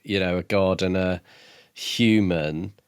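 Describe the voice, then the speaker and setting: A man co-hosting a podcast, talking casually about books in a measured, slightly hesitant way. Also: deep voice, smooth, no echo, clean studio sound. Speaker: podcast co-host. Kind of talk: casual book discussion.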